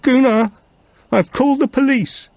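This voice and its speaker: A man voicing a frightened old woman in a high-pitched, urgent call. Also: measured pace, darkish tone, dry recording. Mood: terrified.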